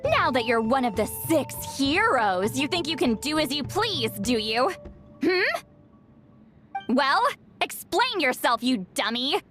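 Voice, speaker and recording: A woman's voice: sits high, sing-song, unhurried. A woman voicing a bossy, haughty little matriarch in a video game, scolding and easily flustered, drawing out her words. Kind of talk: video game character dialogue. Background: game background music.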